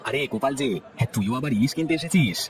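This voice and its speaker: A man voicing a blunt but kindly fishmonger in a cartoon, with a gruff, familiar manner. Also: mid-range voice, animated, very fast, a faint crowd, natural voice. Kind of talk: cartoon voice acting. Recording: music bed behind.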